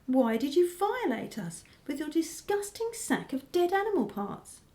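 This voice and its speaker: An actress playing a sceptical, outspoken wife with a pointed, questioning manner in a radio drama. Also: female voice, high voice, very animated, a little slow, dark tone. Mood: pointed, anxious.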